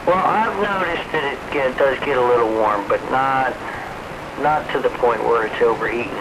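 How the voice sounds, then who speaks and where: A man's voice: medium pitch, normal speed. A man talking casually as an operator on a CB radio. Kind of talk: casual chat over CB radio. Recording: very noisy, staticky CB radio transmission.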